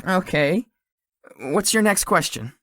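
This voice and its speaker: Shy young man, acted by a woman, animated pitch. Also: slow, no echo, clean studio sound, exaggerated voice. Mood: shy, bashful. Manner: hesitant, stammering when embarrassed. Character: shy, flustered, sweet and sleepy young man. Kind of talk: visual novel character acting.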